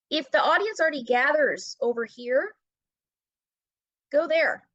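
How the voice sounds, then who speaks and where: A middle-aged woman with a fairly high voice speaks conversationally as an interview guest. She drives points home with emphasis and rhetorical questions. The sound is very dry and a little muffled. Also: fairly bright tone, no echo. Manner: emphatic, asks and answers own questions. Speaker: podcast guest, brand-building business owner and teacher.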